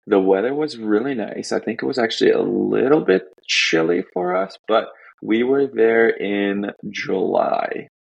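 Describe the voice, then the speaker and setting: Russian-speaking man, deep gravelly voice, dry close sound, relaxed rambling guest. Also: measured pace, hardly any pauses, no room sound, highs cut off. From Estonia. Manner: rambling, many fillers and restarts. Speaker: podcast guest. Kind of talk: casual interview chat.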